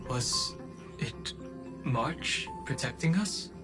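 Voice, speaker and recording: A brooding young man, slow and deliberate, with a medium pitch. He sounds reserved and earnest in a game scene with music behind. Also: expressive, low-quality recording. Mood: worried. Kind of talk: game character dialogue.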